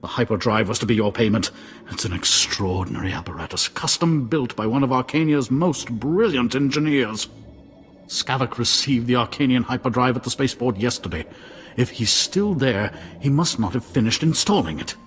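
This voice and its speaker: An actor playing a pompous, indignant noble curator in a video game, speaking in a haughty, formal, theatrical way. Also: male voice, mid-range voice, expressive, normal speed, faint music behind, natural voice. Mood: indignant, urgent.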